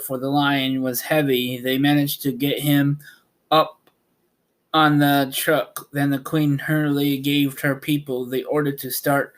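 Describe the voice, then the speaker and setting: Slow-paced man reading a storybook aloud. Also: a little high. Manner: halting, stumbles and corrects words. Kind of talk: amateur audiobook narration.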